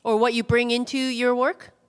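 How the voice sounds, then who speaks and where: Woman facilitator, higher voice, smooth and clear, casual and chatty, hall reverb. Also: little pitch movement, normal speed. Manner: chatty, many fillers and laughs. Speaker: workshop facilitator. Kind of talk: casual facilitation of a group icebreaker. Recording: hall reverb from a room mic.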